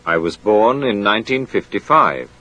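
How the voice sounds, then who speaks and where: A man reading a scripted language course drill in a clear, measured way. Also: fairly low pitch, lively intonation, lo-fi sound, background noise.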